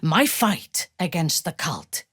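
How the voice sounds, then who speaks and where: A woman playing a haughty, ruthless dark elf warrior in a video game, speaking in an imperious, deliberate, measured way. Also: deep voice, big pitch swings, slightly rough, no echo, studio quality, exaggerated voice. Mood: poised, intense. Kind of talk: dramatic video game character acting.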